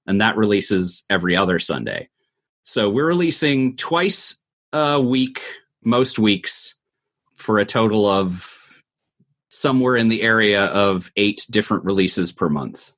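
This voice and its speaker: A man with a fairly low voice speaks as a guest in an interview. He explains things in a thoughtful, conversational way, laying them out step by step. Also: fairly bright tone. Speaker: interview guest, audio drama producer.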